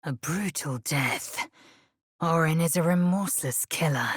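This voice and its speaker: An actress playing a fierce, proud alien warrior woman in a video game, with a raspy voice and curt, imperious, formal diction. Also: sits low, measured pace, dry recording, studio quality. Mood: cold, grim.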